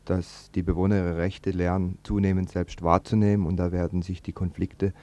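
A man's voice: low-pitched, little pitch movement, normal speed, warm tone. A man presenting on radio with spontaneous commentary, often rephrasing as he goes. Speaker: radio host.

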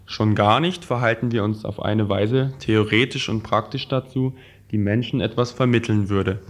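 A man with a fairly low voice reads a scripted political text aloud as a radio presenter. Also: steady tone, normal speed.

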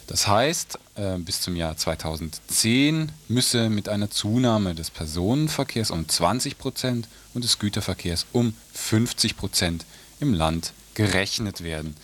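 A man's voice: low voice, fairly bright tone. A man hosting a radio news programme, putting questions to guests in an informal interview style.

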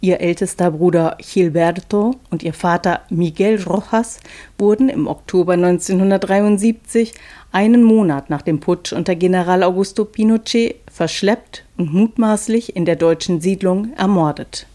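The low, velvety woman's voice that carries a radio documentary from one interview clip to the next. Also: moderate pace, warm tone. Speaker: radio reporter and narrator. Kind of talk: scripted documentary narration.

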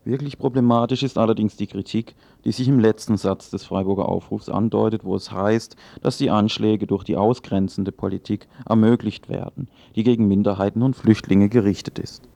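A man's voice: fairly low pitch, steady tone, moderate pace, vocal fry, few pauses, dark tone, dry recording. A man reading scripted political commentary in a sober, formal, critical manner. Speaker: radio news commentator.

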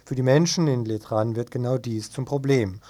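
A man presenting a radio news report, reading scripted narration in a measured, factual manner. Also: medium pitch, mellow, no echo.

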